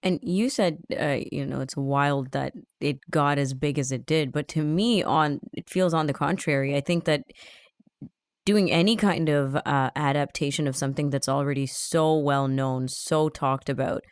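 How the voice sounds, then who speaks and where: A woman with a very low voice co-hosts a review podcast. She chats casually, and the recording is dry with little room sound. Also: moderate pace, clear, resonant tone, no echo. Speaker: podcast review show co-host. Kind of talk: casual chat.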